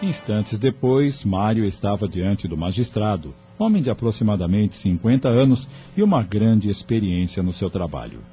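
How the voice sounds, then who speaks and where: A man narrating a scripted audio drama in a measured storytelling manner. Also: on the low side, sing-song. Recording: dull lo-fi audio. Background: music.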